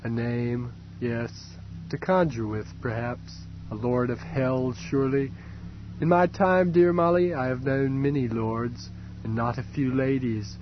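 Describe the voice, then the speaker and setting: A man's voice: mid-range voice, steady tone, measured pace, muffled recording, slight background noise, lightly acted. A man reading an audiobook, voicing an ancient, dying, decadent rich man who rambles and mocks in a languid drawl. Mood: rambling. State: drunk and fading. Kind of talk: audiobook character voice.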